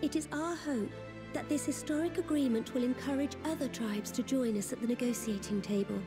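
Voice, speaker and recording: A woman's voice: high-pitched, fairly quick, close to an everyday voice. A woman playing a gracious, resolute young sovereign in a fantasy video game, speaking in a formal, courtly way. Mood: hopeful, resolute. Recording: long reverb, game music underneath.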